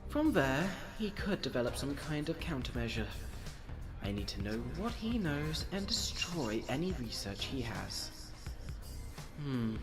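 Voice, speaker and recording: Pompous evil scientist male actor, mid-pitched, very animated intonation, precise and calculating, comic-dub villainy. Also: music in the background. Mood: musing, scheming. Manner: theatrical, formal, deliberate diction. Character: pompous, scheming villain doctor, a cold analytical schemer.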